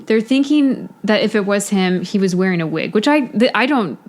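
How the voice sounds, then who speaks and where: A woman's voice: medium pitch, animated, moderate pace. A woman co-hosting a podcast, telling a case in casual chat with a lot of "you know" fillers. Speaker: podcast co-host.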